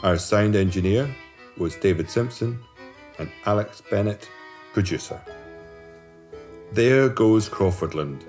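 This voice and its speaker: A man with a very deep voice works as an announcer, reading scripted drama credits. Soft plucked guitar music plays behind him. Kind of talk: scripted narration.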